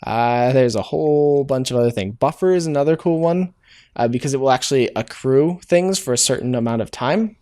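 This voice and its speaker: A man walks through code in an easygoing, unscripted way, pausing on filler sounds and correcting himself as he goes. His voice sits at an ordinary middle pitch for a man. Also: darkish tone. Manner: thinks aloud, hesitant, self-correcting with many "uh"s. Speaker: programming tutorial host. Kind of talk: casual live-coding walkthrough.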